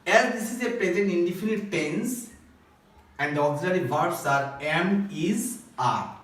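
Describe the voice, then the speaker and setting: Slow, echoey Bangladeshi male teacher. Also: sits high, expressive, fairly bright tone. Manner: deliberate, emphatic, phrase-by-phrase explaining. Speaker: primary school English teacher. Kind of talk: recorded classroom grammar lesson. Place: echoey indoor room at a board. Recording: very echoey room, distant microphone.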